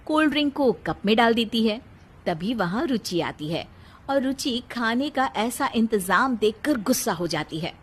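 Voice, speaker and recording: A woman storyteller narrates a scripted cartoon tale. Her voice is fairly high with a husky, breathy edge, and her pitch moves in an animated way from phrase to phrase. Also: normal speed.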